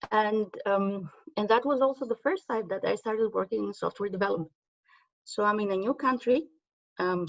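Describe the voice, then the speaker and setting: A woman from Greece, a native Greek speaker, presents at a conference in a conversational way, with plenty of ums and self-corrections. Her voice is medium in pitch and sounds dry, with no room echo. The recording is slightly dull. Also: a little mellow. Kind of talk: conference talk with slides.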